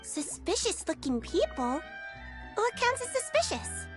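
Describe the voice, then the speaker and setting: Casting: sweet, naive little game creature, childlike and bubbly, animated intonation, over clear background music. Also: female voice, very high-pitched, unhurried, heavily acted. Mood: cheerful, innocent. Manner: innocent, bubbly, hesitant when thinking. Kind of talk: video game voice acting.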